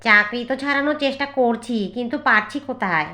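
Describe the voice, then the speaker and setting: A woman's voice: on the high side, quick pace, sharp tone. A woman voicing a stern, scheming middle-aged mother-in-law in a moral-story cartoon, complaining and reproachful. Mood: scheming, grumbling.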